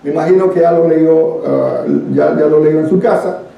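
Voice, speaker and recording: High-voiced Guatemalan man in an echoey room. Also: fairly even intonation, moderate pace, creaky, lo-fi sound. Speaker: Bible study leader. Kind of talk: church Bible teaching, reading and explaining scripture. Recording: very echoey room, distant microphone.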